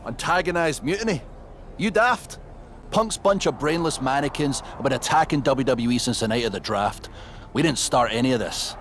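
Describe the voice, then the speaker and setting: An actor with a Scottish accent playing a brooding, scornful, menacing pro wrestler in a video game cutscene. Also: male voice, medium pitch, animated, moderate pace, a bit bright, slight background noise, close to an everyday voice. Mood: angry. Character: brooding, vengeful male pro wrestler in his prime.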